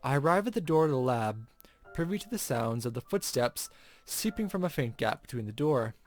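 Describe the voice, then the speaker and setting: A man with a mid-pitched voice hosts a visual novel playthrough. He reads first-person story text expressively and comments casually, over soft guitar music. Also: very animated, normal speed. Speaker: gaming video host.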